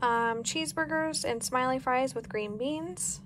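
A woman's voice: high-pitched, measured pace, a bit bright. An American woman talking casually and plainly as a home vlogger, easygoing, recorded on a handheld device in a kitchen. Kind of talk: casual grocery haul and meal plan narration. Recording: noticeable background noise, home kitchen.

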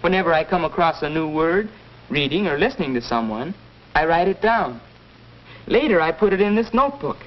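An actor playing an earnest, articulate young American student son who explains things patiently in a muffled 1940s educational film. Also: male voice, on the high side, sing-song, a little fast.

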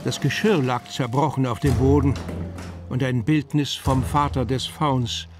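A man's voice: mid-range voice, steady tone, unhurried, music in the background, close to an everyday voice. A man narrating a children's fantasy audio drama as its storyteller. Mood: storytelling.

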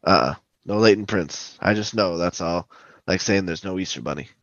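A man playing a stern, clipped, deadpan police detective in a parody of a 1950s radio drama. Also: sits low, moderate pace, dry recording, highs cut off.

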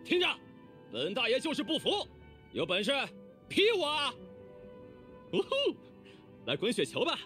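Cocky hot-blooded oni boss, game voice, pitch flying all over, theatrical bragging, bit of music behind. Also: male voice, wildly swooping pitch, moderate pace, pauses often, sharp tone, exaggerated voice. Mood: fired up. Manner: boastful, theatrical, rowdy. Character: swaggering, hot-blooded young male oni gang boss. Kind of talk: animated video game character voice lines.

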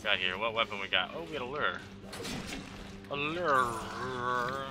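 A man in his thirties from Texas with a light Texan accent, chatting through gameplay commentary on a headset, full of quick exclamations and talk to himself. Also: medium pitch. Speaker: gaming channel host.

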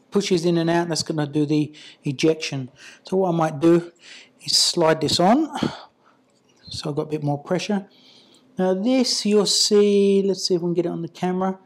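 Casual hands-on tutorial voice, muttering while working. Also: mid-range voice. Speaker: product installer and reviewer.

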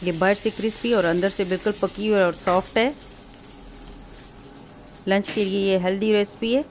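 A woman from Pakistan hosting a cooking channel, calmly explaining each step of a recipe in a voice-over. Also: medium pitch, moderate pace, slight background noise. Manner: calm, instructive, explains each step plainly.

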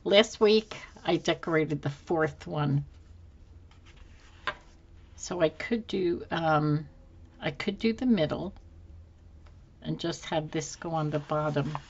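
Slow, low-voiced woman thinking aloud. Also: breathy edge, dull audio. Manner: unhurried, musing to herself with fillers. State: slightly hoarse, losing her voice. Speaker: planner decorating channel host. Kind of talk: casual thinking-aloud crafting narration. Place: indoors at a desk. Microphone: overhead camera, hands only.

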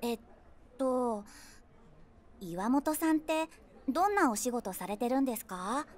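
A woman's voice: a little high, very animated, unhurried, a little mellow. An actress playing an eager, cutesy young woman on a date in a comedy audio drama, speaking politely. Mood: eager, probing.

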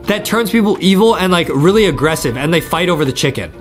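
A young man with a fairly high voice gives excited let's-play commentary. He is animated and repeats himself as he addresses viewers. Light game music plays behind him. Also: fast talker. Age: young adult. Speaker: gaming video host.

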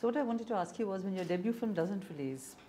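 A middle-aged woman, hosting an interview with brisk questions and short affirmations. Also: medium pitch, lively intonation, warm tone, a little hiss or hum. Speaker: interviewer, show host.

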